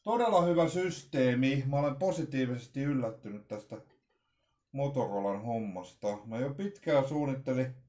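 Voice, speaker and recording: A man in his forties talks to a desk webcam in a small room at home while he unboxes gear. His voice is mellow and dark, and he thinks aloud as he goes. He halts often, restarts his sentences and fills the pauses with hesitations. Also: medium pitch. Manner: halting, thinking aloud with many fillers and restarts. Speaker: vlogger unboxing radios. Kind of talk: casual unboxing commentary.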